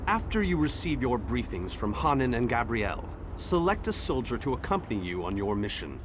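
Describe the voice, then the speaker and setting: A man's voice: mid-range voice, big pitch swings, a little fast, close to an everyday voice. Man playing a grave war leader over noise. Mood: grave, determined. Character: earnest, determined fantasy war leader. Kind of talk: video game character acting.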